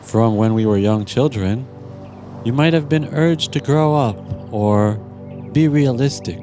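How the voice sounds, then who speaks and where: Male meditation guide: unhurried, gentle and deliberate, leaving long pauses, set over prominent music. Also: medium pitch.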